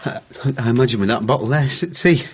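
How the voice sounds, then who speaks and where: A man's voice: mid-range voice, fast, slightly rough, natural voice. An actor playing an anxious, reluctant grown son in a naturalistic radio drama, hesitant and defensive in his speech. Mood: shaken. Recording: background noise behind radio drama dialogue.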